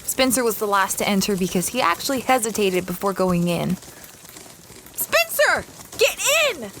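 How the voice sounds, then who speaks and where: A woman's voice: medium pitch, sing-song, normal speed, fairly bright tone, slight background noise. A woman playing an adventurous, easily flustered young space explorer, narrating her story in an audio drama. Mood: exasperated urgent. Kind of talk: first-person audio drama narration and dialogue.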